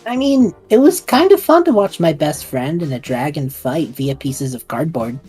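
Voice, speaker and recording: Woman as worried sidekick, mellow kinda husky, incredulous and wry, audio drama. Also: deep voice, animated, moderate pace, faint music behind. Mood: puzzled. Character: bemused, anxious best-friend adventurer, wryly questioning.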